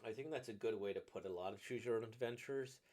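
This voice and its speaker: A man giving casual, off-the-cuff commentary on a game, rambling as he thinks out loud and now and then voicing imagined lines. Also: fairly low pitch, quick pace. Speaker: streamer reacting to a visual novel.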